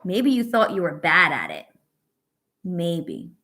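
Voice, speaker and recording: A woman reading a picture book aloud to young children as a teacher, pausing to ask questions and offer encouragement and reassurance. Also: on the low side, wildly swooping pitch, sharp tone. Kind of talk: read-aloud story with asides to young children.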